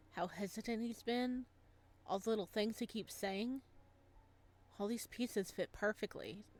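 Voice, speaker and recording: A woman's voice: medium pitch, darkish tone, lightly acted. Woman voicing a wistful, wounded young male ghost. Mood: dawning realization. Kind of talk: visual novel narration and dialogue reading.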